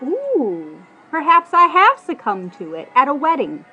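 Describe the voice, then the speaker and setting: A woman with an American accent answers as a casual podcast guest. Her voice is high and swings up and down a lot, on slightly dull audio with music behind. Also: huge pitch swings, a little slow. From Ohio, United States.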